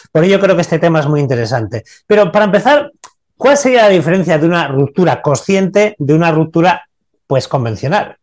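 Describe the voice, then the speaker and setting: An older man hosting an interview over a video call from a room at home, calm and affirming. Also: on the high side. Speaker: interview host. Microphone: video call webcam.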